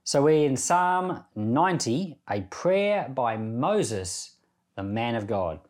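A middle-aged man with a medium-pitched voice teaches from the Bible. He speaks earnestly and conversationally, setting out his phrases with deliberate care as he reads and comments. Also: very animated, a little mellow. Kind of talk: Bible reading with devotional commentary.

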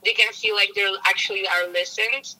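A woman from Poland speaks with a Polish accent as a guest in a casual interview. She talks earnestly and often pauses to find the right English words. Her voice is very bright and sharp, at an ordinary middle pitch. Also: steady tone, low-quality recording. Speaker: guest, music producer.

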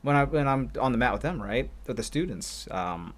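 A man's voice: mid-range voice, expressive, a little fast. A man who assists as a martial arts instructor, musing on a podcast in a rambling, reflective way. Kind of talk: casual podcast chat.

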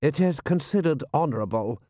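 A man's voice: a little high, animated, a little fast, warm tone, no echo, pitched above the performer's own voice, close to an everyday voice. A man voicing a pompous, superior aristocratic army lord with a clipped manner for an audiobook. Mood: haughty.

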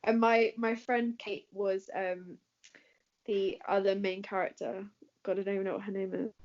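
A woman's voice: mid-range voice, flat delivery, moderate pace, darkish tone. A woman with an English accent answering questions in a casual chat, talking with plenty of fillers and laughing easily. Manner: chatty, laughs often, many fillers. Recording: dull lo-fi recording.

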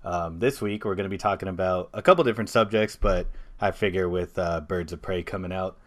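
A man's voice: sits low, a little fast, a bit bright. A man hosting a casual podcast chat, talking with dry, teasing humour. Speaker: podcast host.

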